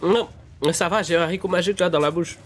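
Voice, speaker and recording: A young man streaming a game live, chatting to his viewers, a little nasal from a cold, repeating himself when excited. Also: sits high, quick pace, slight background noise. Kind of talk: live gameplay commentary.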